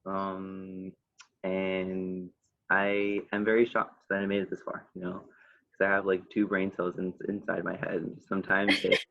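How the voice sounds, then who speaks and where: A young man from North Carolina, a college freshman guest, talking in a halting, self-deprecating way on a video call. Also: deep voice, monotone, creaky. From North Carolina, USA. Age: young adult. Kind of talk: casual chat on a video call. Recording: dull lo-fi sound.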